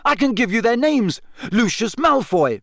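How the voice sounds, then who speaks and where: A man's voice: very high voice, sing-song, normal speed, no room sound, studio quality, pitched above the performer's own voice, heavily acted. A man voicing an earnest, defiant boy wizard in an audiobook, urgent and insistent. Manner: insistent, emphatic. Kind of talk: audiobook character voice.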